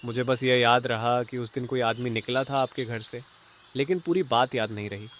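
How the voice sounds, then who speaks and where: A man's voice: mid-range voice, steady tone, normal speed, fairly bright tone, lightly acted. A chatty, forgetful neighbour from a cartoon, acted by a man. He rambles and pries in a mid-range voice. There is faint noise behind him. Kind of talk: Hindi cartoon voice acting.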